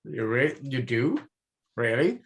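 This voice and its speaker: A woman's voice: a bass voice, sing-song, slow, gravelly, crowd noise. A woman playing a tabletop roleplaying game, bantering in character and firing off confused questions. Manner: bewildered, repeating questions.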